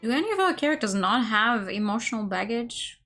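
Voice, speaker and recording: A woman streamer reading a visual novel aloud in an expressive way, with laughing asides. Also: a little high, a little fast. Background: faint game music.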